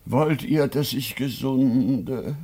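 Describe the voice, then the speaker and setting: A man's voice: medium pitch, very slow, mellow, breathy edge, full character voice. An actor playing a cunning, lecherous rich man who shams sickness, theatrical and wheedling, in a classic German radio play. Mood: feeble, feigned sickness.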